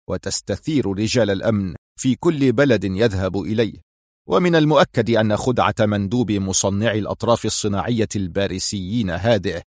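A man's voice: on the low side, no echo, clean studio sound, natural voice. A man playing a stern, mysterious boss of a criminal organisation, curt and firm, in a story reading. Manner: curt, firm, cold authority.

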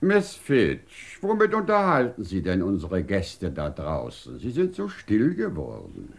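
An actor playing an urbane, teasing scientific director in a radio play, whimsical and courteous, with elaborately worded, theatrical speech. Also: male voice, low voice, wildly swooping pitch, a little slow, creaky, full character voice. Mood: courtly, bantering. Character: urbane, teasing male scientific director of a desert research station. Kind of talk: German radio play acting.

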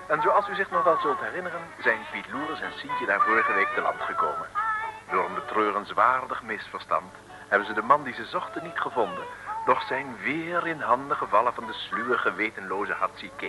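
A man's voice: lowish voice, very animated, a little fast, low-quality recording. A man narrating an old radio serial in a theatrical, tongue-in-cheek, humorous style. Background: music.

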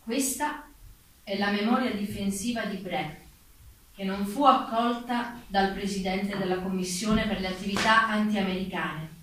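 A woman's voice: medium pitch, unhurried, a bit bright. A woman delivering scripted historical narration for a stage play in a formal, declarative way. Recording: distant, very echoey room, faint noise.

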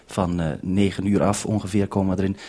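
Husky, lowish male radio interviewer. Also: level tone, a little fast, dark tone.

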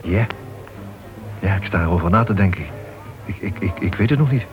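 A man's voice: low voice, animated, fairly quick. An actor playing a cautious club chairman in a Dutch radio play, deliberating and somewhat formal. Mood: pondering, concerned. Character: cautious, genial male club chairman, hesitant when pressed. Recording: dull old radio recording with music.

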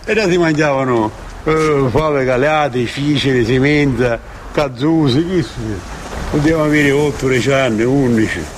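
An older man with a Sicilian accent, recalling things in an animated, casual way. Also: medium pitch, normal speed. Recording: noisy field recording with car sounds.

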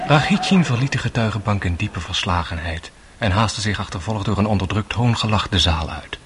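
An actor narrating a courtroom radio drama in composed, scripted storytelling style. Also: male voice, lowish voice, lively intonation, a little fast, background noise, close to an everyday voice.

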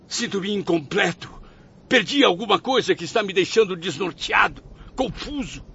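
A proud, desperate ghost cries and pleads, as an actor voices him in a radio play. His voice sits high and grates with gravel. The track sounds muffled under a layer of noise. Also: pushed and bright, exaggerated voice. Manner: anguished, pleading, crying out. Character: tormented male suicide's ghost, proud and desperate. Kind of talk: radio drama acting.

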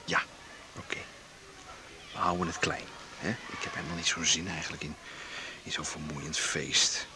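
A man's voice: a bass voice, little pitch movement, moderate pace, long pauses, noisy background. An actor playing an easygoing, playful man teasing his partner in a naturalistic radio drama.

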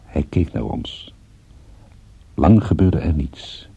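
An actor playing a dry, sardonic private detective who narrates and talks in a radio drama with wry understatement. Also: male voice, rumbling low, lively intonation, slow pace, creaky, mellow, husky, no echo, muffled recording, exaggerated voice. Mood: dry narration. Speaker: lead character and narrator.

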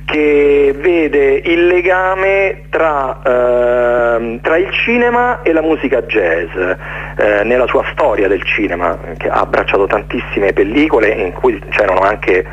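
A man, speaking casually as an interview guest in a halting, rambling way with repeated words. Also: medium pitch, lively intonation. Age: late 40s. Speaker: interview guest, jazz orchestra conductor. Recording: dull, noisy radio recording, hum.

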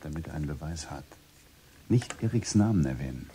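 A man's voice: a bass voice, expressive, brisk, mellow, a little hiss or hum. An actor playing an urgent, protective, discreet man in a radio drama. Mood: controlled, discreet.

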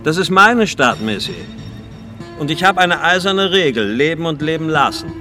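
An actor playing a cold, threatening, condescending middle-aged police inspector in a radio drama. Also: male voice, on the high side, expressive, moderate pace, lightly acted. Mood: quietly menacing. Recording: music playing behind voice.

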